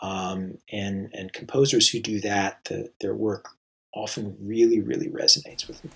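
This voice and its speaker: A man being interviewed as a composer, answering thoughtfully with many pauses, fillers and restarts. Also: fairly low pitch, level tone, creaky, a bit bright, husky, low-quality recording. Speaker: composer guest.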